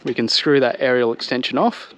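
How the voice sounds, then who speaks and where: A man's voice: mid-range voice, fairly quick, a little hiss or hum. Casual Australian man reviewing gear. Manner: informal explanatory walk-through, addressing viewers. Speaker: vlogger reviewing vehicle gear. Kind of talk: casual product review to camera. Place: outdoors on a home driveway by a vehicle.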